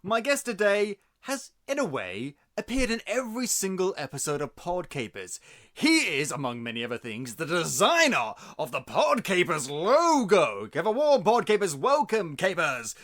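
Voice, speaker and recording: A man hosting a podcast in casual chat, theatrical and booming, jumping between voices. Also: big pitch swings, normal speed, a bit bright. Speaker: podcast host.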